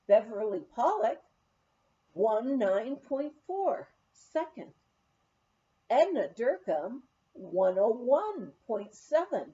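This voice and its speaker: A woman's voice: on the high side, dark tone. A woman reading a memoir aloud as scripted narration, in a slightly dull recording. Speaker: audiobook reader.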